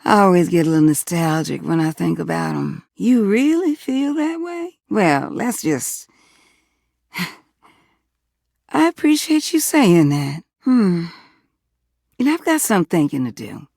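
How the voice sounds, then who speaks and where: An actress playing a tender-hearted, proud household appliance come alive in a video game, with a folksy, candid manner. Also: female voice, fairly low pitch, very animated, unhurried, creaky, pauses often, darkish tone, no room sound, studio quality, exaggerated voice. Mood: wistful, tender. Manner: folksy, affectionate, emotionally candid. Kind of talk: video game character acting.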